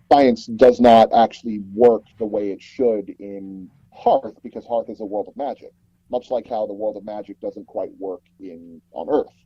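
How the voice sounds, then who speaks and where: Low, husky man on muffled audio. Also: dark tone, no room sound. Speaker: interview guest, audio drama producer. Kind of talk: casual storytelling in an interview.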